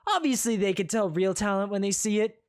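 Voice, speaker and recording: Someone doing a cocky young hero. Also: male voice, high voice, fairly quick, no room sound, clean studio sound, voice pushed up, natural voice. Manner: cheeky, teasing and boastful.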